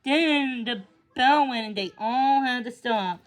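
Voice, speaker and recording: A woman with a fairly high-pitched voice reads a children's story aloud. She narrates as in a read-along, now and then tripping on a word and going back over it. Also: takes it slowly, low-quality recording. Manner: stumbles over words and rereads them. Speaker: storybook reader.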